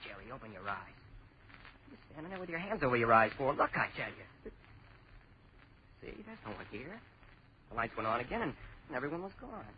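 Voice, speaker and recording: A short-tempered young American man, played by an actor snaps along quickly in a highish voice. It is panicky vintage radio horror acting on a dull, noisy recording. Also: big pitch swings, close to an everyday voice. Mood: impatient. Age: young adult.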